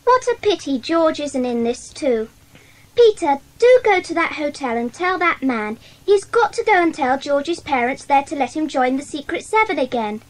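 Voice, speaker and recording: Casting: loyal young British schoolboy, high animated voice, eager and exclamatory, dramatised children's audiobook. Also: female voice, moderate pace, rough recording. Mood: concerned. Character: keen, loyal schoolboy in a secret society.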